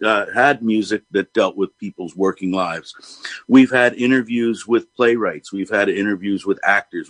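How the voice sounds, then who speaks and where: A man with a fairly low voice, recorded dry and close, co-hosts a live radio talk show. He speaks off the cuff and reflectively, pausing often between short phrases. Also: dry recording, low-quality recording.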